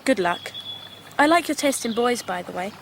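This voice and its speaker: A frank, cheeky teenage girl in radio drama. Her voice is fairly high, with faint outdoor noise behind. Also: animated, moderate pace, a bit bright. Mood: cheeky, fond.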